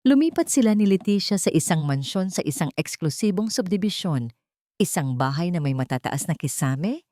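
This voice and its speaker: A woman's voice: medium pitch, mellow, no room sound, clean studio sound. A woman narrating a scripted audiobook drama in a dramatic storytelling manner.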